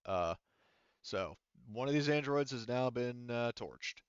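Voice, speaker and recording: A man's voice: medium pitch, lively intonation, measured pace, smooth, dry recording. A man speaking casually and profanely as game master of a tabletop role-playing game, in slightly muffled audio.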